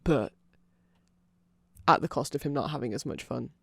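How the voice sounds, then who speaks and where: A man's voice: medium pitch, normal speed, vocal fry, husky, no room sound, clean studio sound. A man voicing a nerdy vampire who gives a rambling, earnest and fannish ASMR explanation full of fillers. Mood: earnest, sympathetic.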